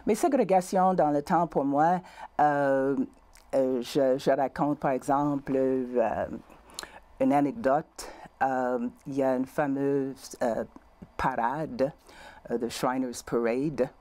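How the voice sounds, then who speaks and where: Woman, older, American English accent in French, mellow dark tone, halting and filler-heavy, lapel mic in a studio. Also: rumbling low. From United States (Missouri). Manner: hesitant, many fillers, warm laughs. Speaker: interview guest. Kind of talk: conversational interview. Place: small community TV studio.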